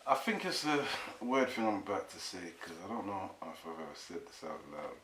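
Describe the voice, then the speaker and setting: A man's voice: low voice, sing-song, moderate pace, fairly bright tone. A British man hosting an interview in a casual, reflective, informal way. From England. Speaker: host, interviewer. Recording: roomy echo, faint hiss.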